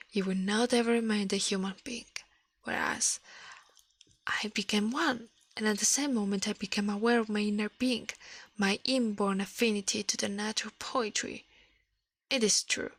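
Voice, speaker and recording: A woman reading a story aloud in ASMR style, in a soft breathy whisper, halting now and then over the words. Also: medium pitch, dry recording. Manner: halting reading with stumbles and self-corrections.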